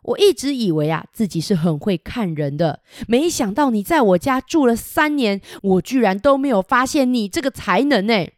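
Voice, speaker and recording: A woman's voice: fairly low pitch, expressive, measured pace, no echo, studio quality. A woman voicing a male nobleman who speaks effusively and exclaims with admiration. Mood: admiring.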